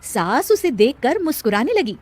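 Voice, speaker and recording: A woman's voice: sits high, sing-song, moderate pace. A woman narrating a scripted story for a cartoon video.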